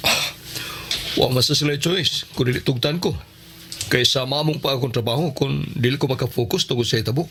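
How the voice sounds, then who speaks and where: A man acts a grieving professional in his thirties in a radio drama. He is polite, subdued and a little hesitant, in a medium-pitched voice. Faint ambient noise sits behind him. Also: brisk, a bit bright, close to an everyday voice.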